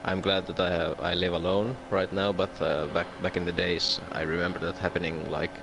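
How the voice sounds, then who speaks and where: A man co-commentating casually on an online race, calm and matter-of-fact. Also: low-pitched, a little fast. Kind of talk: casual live sim-racing commentary. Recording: audible background noise.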